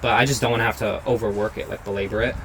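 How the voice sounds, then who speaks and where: A young man with a fairly low voice chats in an easygoing way while he sketches his guest, scattering "like" through his sentences. A faint hiss of noise sits under the home recording. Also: fairly even intonation, very fast. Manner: easygoing, fills his talk with "like". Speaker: host and portrait artist. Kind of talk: casual conversation while drawing.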